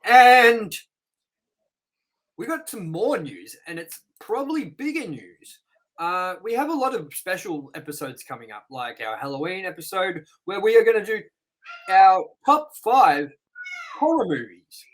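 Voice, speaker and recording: Excitable male host, rambling. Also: a little high, poor audio. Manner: rambling and excitable, with sound-effect noises. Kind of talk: casual episode wrap-up with plugs.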